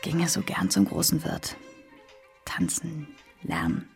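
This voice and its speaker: A woman playing a weary, longing, unhappily married wife in a radio play, with a husky, breathy, hushed voice. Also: deep voice, big pitch swings, slow pace, faint music behind, heavily acted. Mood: wistful. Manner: hushed, brooding, often whispering. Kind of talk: expressionistic radio play acting.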